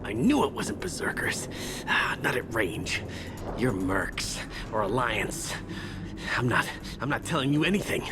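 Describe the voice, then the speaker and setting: An actor playing a wounded mercenary grunt in a video game, in pain, stammering and complaining bitterly. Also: male voice, mid-range voice, big pitch swings, measured pace, over music. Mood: pained, panicky. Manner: sweary, stammering, whining complaints. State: in pain, bleeding. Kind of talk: video game cinematic acting.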